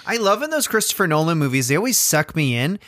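A man co-hosting a podcast, chatting in an animated, rambling way. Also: a little high, quick pace, no room sound, studio quality. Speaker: podcast co-host. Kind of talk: casual podcast chat.

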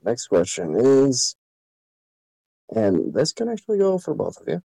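A man's voice: medium pitch, wildly swooping pitch, unhurried, gravelly, warm tone, low-quality recording. A nineteen-year-old man hosting a casual chat with friends, blunt and swearing freely. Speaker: host asking questions.

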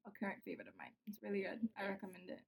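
A woman's voice: mid-range voice, very animated, racing, gravelly, breathy edge. A 21-year-old woman chatting casually as a podcast guest, giggling often and filling her talk with "like". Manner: giggly, chatty, lots of "like" fillers. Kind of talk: casual chat.

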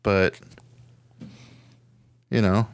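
A man's voice: fairly low pitch, little pitch movement, drawn-out words, no room sound. A man co-hosting a podcast, chatting casually in loose, slangy, colloquial speech. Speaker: podcast co-host. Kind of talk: casual chat.

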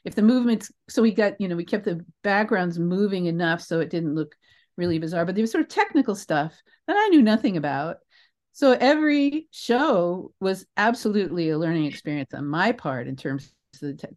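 A woman's voice: on the low side, sing-song, brisk, darkish tone. An older American woman giving conversational interview answers in a reflective, emphatic and digressive way. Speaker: interview guest, theatre creator.

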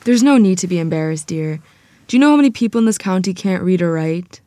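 A woman's voice: fairly low pitch, animated, quick pace, warm tone, no echo, close to an everyday voice. A woman acting a sturdy, proud, church-going Southern teacher in her fifties in a screenplay table read, firm, motherly and matter-of-fact. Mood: firm, reassuring.

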